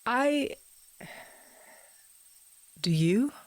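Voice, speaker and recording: A woman as an unhurried, dryly understated wife. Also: a little high, expressive, no room sound. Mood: tentative. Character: hurt but practical estranged wife, gently teasing. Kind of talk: audio drama dialogue.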